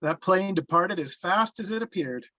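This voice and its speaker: A wry narrator read by a man recalls boyhood in a bright, forward voice. The scripted first-person narration sounds dry and a little lo-fi. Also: high-pitched, lively intonation, normal speed, no echo, exaggerated voice. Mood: reminiscent.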